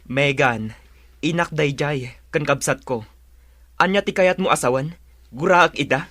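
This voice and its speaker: Bright, forceful young husband. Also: male voice, a little high, unhurried, no echo. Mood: irritated. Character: young husband, impatient, torn between wife and mother. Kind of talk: Ilocano radio drama acting.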